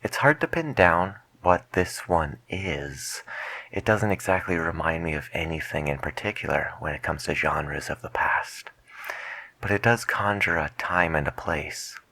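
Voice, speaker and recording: A man playing a late-night radio DJ in an ASMR roleplay, soft-spoken and musing, sometimes dropping to a near-whisper, unhurried as he introduces records. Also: deep voice, animated, vocal fry, exaggerated voice. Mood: calm, musing. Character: mellow late-night radio DJ, male, music-loving, unhurried. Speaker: radio DJ host.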